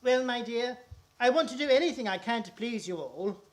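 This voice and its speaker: A man's voice: very high-pitched, animated, a little fast. A man acting a meek, put-upon older father in a radio play farce, hesitant and complaining. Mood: doleful, reluctant.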